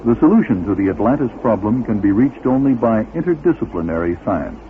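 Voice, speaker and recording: A man's voice: fairly low pitch, lively intonation, a little fast, slight background noise. A man reading scripted narration as the announcer of a radio documentary series, on a muffled vintage recording.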